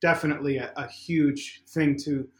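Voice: medium pitch, fairly even intonation, moderate pace, echoey room. A podcast host asking long, meandering questions in a casual interview.

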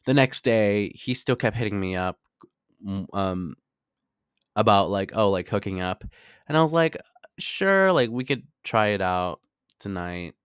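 Chatty young man, smooth low-pitched voice. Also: lively intonation, measured pace, a bit bright, dry recording, studio quality. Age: 20s. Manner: chatty, informal, frequent "like" and swearing. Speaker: podcast host. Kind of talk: casual confessional storytelling.

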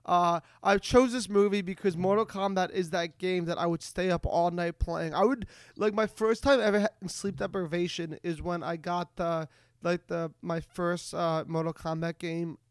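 A man co-hosting a casual comedy podcast, excitable and stammering, often repeating his words. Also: high-pitched, moderate pace, smooth, no echo, clean studio sound. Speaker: podcast co-host.

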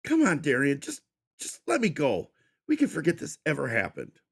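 A man voicing a terrified captive man who begs and squeals in a high, strained voice. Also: wildly swooping pitch, moderate pace, gravelly, husky, full character voice. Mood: begging. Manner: shrill, begging, pleading. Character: panicked whining captive man.

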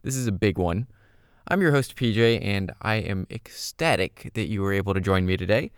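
Low-voiced man narrating a spooky podcast. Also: lively intonation, moderate pace, clear, resonant tone, dry recording, clean studio sound. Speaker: podcast host. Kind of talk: scripted storytelling narration.

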